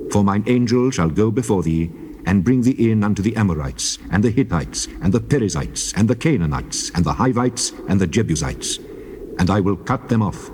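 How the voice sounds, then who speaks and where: A man's voice: fairly low pitch, a little mellow, natural voice. A man playing a solemn, authoritative God in a dramatized scripture reading. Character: God of Israel, male, commanding, solemn lawgiver. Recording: clearly noisy old cassette tape.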